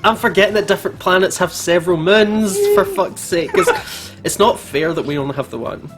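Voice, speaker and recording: A man co-hosting a gaming video, chatting casually in a jokey, excitable, sweary way. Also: sits high, fairly quick. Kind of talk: casual chat, reacting to a game. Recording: music playing behind the voice. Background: video game music.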